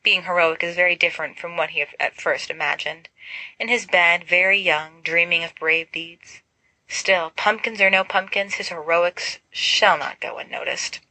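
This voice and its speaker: A woman reading fan fiction aloud as an expressive amateur audiobook narrator. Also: lowish voice, normal speed, no echo, poor audio. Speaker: volunteer audiobook reader.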